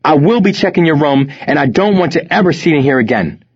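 A man's voice: on the high side, animated, fast talker, slightly rough, pitched above the performer's own voice. A man voicing a stern, unyielding mother in an audiobook, firm, cool and commanding. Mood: sternly angry. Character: stern, unyielding mother scolding her teenage son. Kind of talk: audiobook character voice. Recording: dull, band-limited audio.

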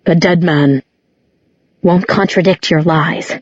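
A woman voicing a weary grown man in an audiobook, regretful and subdued, gently explaining hard truths. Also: mid-range voice, steady tone, dry recording, lo-fi sound. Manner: subdued, measured, apologetic.

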